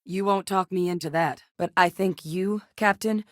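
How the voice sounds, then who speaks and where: Actress as a low-voiced, driven military scientist. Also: female voice, steady tone, moderate pace, a bit bright, no echo, studio quality, natural voice. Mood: matter-of-fact. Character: guarded, driven woman military scientist in a space game. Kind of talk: video game voice acting.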